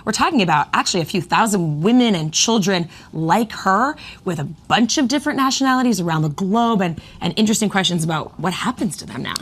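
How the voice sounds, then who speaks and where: A woman's voice: mid-range voice, expressive, fairly quick, few pauses, slight background noise. A woman co-anchoring a live news broadcast, giving engaged, emphatic commentary. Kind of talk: live news desk conversation.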